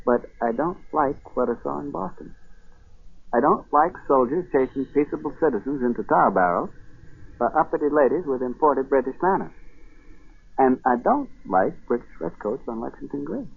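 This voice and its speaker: An actor playing a stubborn, plain-spoken middle-aged colonial farmer in a folksy manner, in 1940s radio drama style. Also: male voice, medium pitch, animated, normal speed, vocal fry, slightly rough. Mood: indignant. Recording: muffled, noisy 1940s radio recording.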